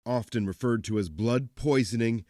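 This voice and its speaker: A man's voice: mid-range voice, moderate pace, warm tone, dry recording. Dry studio-sounding male news reporter. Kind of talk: scripted news report voice-over.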